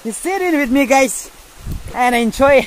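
A young man from India vlogging on a phone held at arm's length while hiking a forest trail to a waterfall, tired and thirsty, with excited exclamations and halting simple phrases. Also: very high-pitched, low-quality recording. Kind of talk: casual vlog narration while hiking.

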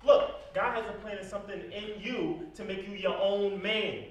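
A man's voice: high voice, steady tone, normal speed, lightly acted. A young man in a stage play acting a concerned friend who pleads insistently, recorded at a distance in a theater. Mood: earnest, pleading. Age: young adult. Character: concerned male friend urging change, earnest. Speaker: stage actor. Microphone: distant camera. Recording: echoey noisy theater, far from mic.

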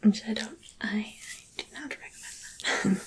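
A young woman speaking softly and breathily, often whispering, in a gentle, reassuring ASMR roleplay as a wardrobe fitter, close to the microphone. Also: medium pitch, huge pitch swings, unhurried, a little hiss or hum. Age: young adult. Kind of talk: soft-spoken ASMR roleplay, personal attention.

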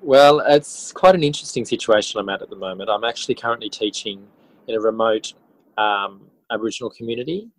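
An Australian man in his forties talks as a podcast guest. His voice sits fairly low, with a very dry sound. He tells things casually and reflectively, often pausing and rewording as he goes. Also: no echo, rough recording. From country New South Wales, Australia. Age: 40s. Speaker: interview guest, schoolteacher and author.

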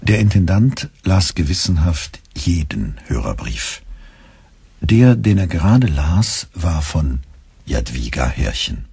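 An actor playing a measured storyteller, reading scripted literary narration in a radio play. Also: male voice, low-pitched, big pitch swings, gravelly, a little mellow, slightly rough, dry recording, exaggerated voice.

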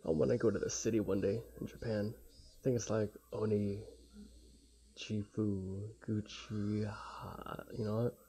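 A young man with a casual, halting, sweary manner reading a visual novel aloud and commenting as he plays. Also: lowish voice, level tone, dark tone. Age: young adult. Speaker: let's play streamer. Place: small room at home. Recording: dull lo-fi mic with faint noise.

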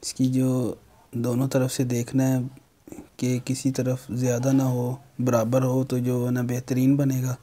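Monotone young man. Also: medium pitch, a little slow. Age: young adult. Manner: plain, instructional. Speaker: how-to video host. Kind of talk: step-by-step tutorial narration.

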